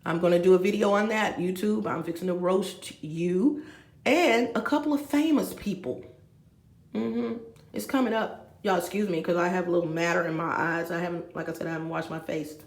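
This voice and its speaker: Woman in her 50s, vlogger with a gravelly voice, echoey room, rambling and chatty. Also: lowish voice, normal speed. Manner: rambling, talks straight to viewers with asides. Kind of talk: rambling confessional vlog. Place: room with a bed, indoors.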